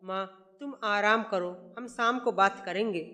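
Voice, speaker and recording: A man voicing a calm, worried young son who asks concerned questions. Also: sits high, unhurried. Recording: long hall reverb.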